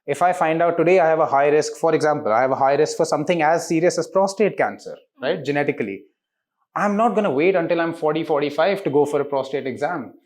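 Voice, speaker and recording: A man's voice: a little high, lively intonation, quick pace. A man, giving articulate explanatory interview answers peppered with rhetorical questions. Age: 30s. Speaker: interviewed diagnostics company representative.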